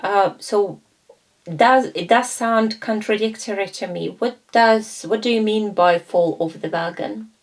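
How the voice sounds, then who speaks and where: A woman instructor walks through a coaching lesson in a measured, explanatory way. She acts out short exchanges between coach and client, pausing on fillers and correcting herself as she goes. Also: medium pitch. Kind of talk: lecture with role-play examples.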